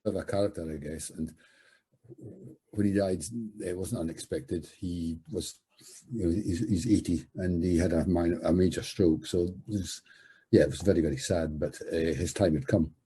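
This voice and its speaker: A middle-aged or older man telling a personal memory in a halting, reflective way. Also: deep voice, fairly even intonation, dark tone, a little hiss or hum. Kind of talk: personal oral history recollection.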